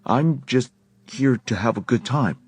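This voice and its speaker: A man's voice: mid-range voice, animated, unhurried, a little mellow, slightly rough, no echo, pitched above the performer's own voice. A man voicing a guarded young man who speaks tersely. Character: guarded young male Shadowhunter on vacation.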